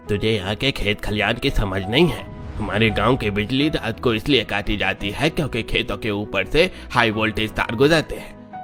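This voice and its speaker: A man's voice: fairly low pitch, natural voice. A man voicing a stubborn, old-fashioned village elder who explains and patronises in a Hindi cartoon story.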